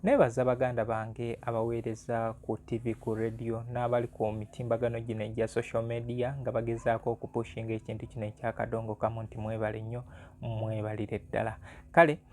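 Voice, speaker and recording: A man's voice: mid-range voice, little pitch movement, fairly quick, a little mellow. A Ugandan man hosting an informal music vlog, talking conversationally and repeating phrases for emphasis. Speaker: music analyst and channel host.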